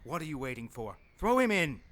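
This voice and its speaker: A man's voice: fairly high pitch, very animated, a little fast, fairly bright tone. A man playing a pompous, menacing demon villain in an audio drama, gloating in a theatrical way. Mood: enraged, grandiose. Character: gloating male demon villain posing as human lover.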